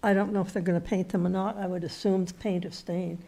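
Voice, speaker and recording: Woman chairing a town board, slightly raspy. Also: on the low side, little pitch movement, quick pace, warm tone. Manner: hesitant, repeats words while thinking. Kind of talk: municipal meeting discussion. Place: town meeting room.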